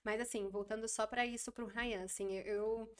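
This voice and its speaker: Casting: young woman guest, higher voice, animated storyteller, casual interview. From Brazil. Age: 20s. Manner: chatty, animated storytelling with quick repeats. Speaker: podcast guest.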